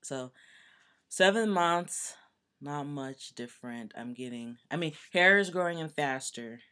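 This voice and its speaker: A young man with a voice that is still deepening, giving a chatty, earnest video update full of fillers. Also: expressive, a little slow, pushed and bright, clear, resonant tone. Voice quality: voice still deepening, not yet fully low. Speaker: vlogger. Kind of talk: casual personal video update.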